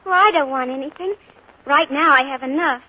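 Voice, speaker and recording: A woman's voice: high voice, fairly quick, phone-quality sound, lightly acted. An actress playing an earnest, dreamy teenage girl in a 1940s radio play, eager and breathless. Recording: scratchy 1940s radio broadcast.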